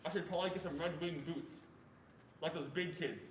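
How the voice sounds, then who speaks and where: A young man performing as an adult man who earnestly recalls his fearful boyhood in a deliberate stage reading. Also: a little high, close to an everyday voice. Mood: earnest, reflective. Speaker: student performer reading as narrator. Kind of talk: reader's theatre narration of a first-person memoir. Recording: echoey room with faint noise.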